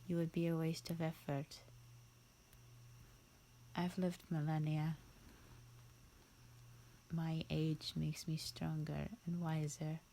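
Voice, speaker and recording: Languid, creaky, low-voiced vampire woman, acted by a woman. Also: level tone, slow, pauses often, dark tone, a little hiss or hum, full character voice, rough recording. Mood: haughty, bored. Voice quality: breathy, half-whispered, creaky. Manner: condescending, languid, theatrical drawl. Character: bored, haughty millennia-old pureblood female vampire. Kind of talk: roleplay audio monologue, close and breathy.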